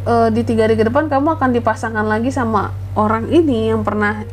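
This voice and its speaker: A woman with a cold doing a casual, chatty tarot reading, keeping her voice soft and adding lots of fillers and tag questions. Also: a little high, fast talker, slight background noise. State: has a cold, voice kept soft. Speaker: tarot reader.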